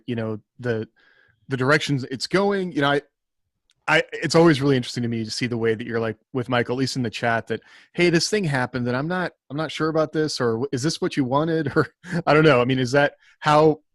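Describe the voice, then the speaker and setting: A man talking casually on a writers' podcast, halting and self-deprecating as he thinks aloud. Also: mid-range voice, fairly bright tone, no room sound, studio quality.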